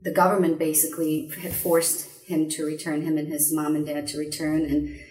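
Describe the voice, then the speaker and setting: Studio guest, woman, from Bulgaria; low voice, measured delivery; roomy, off-mic sound. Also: flat delivery, darkish tone, recorded from a distance, faint music behind. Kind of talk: telling her life story in an interview.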